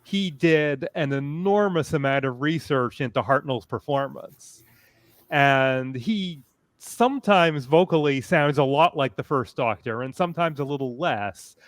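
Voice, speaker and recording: A man speaking as a panelist in a casual discussion, analytical and detailed in a measured way. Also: medium pitch, no room sound.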